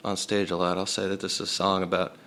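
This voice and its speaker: A young man and band singer with a low resonant voice, chatting casually in an interview and rambling with lots of filler words. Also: little pitch movement, fast talker, pushed and bright, a little hiss or hum.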